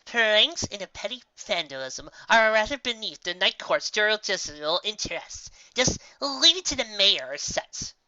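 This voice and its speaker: A woman's voice: mid-range voice, big pitch swings, normal speed, few pauses, sharp tone, no echo, lo-fi sound, voice pushed up. A woman playing an officious female unicorn official, haughty and weary, in a story reading.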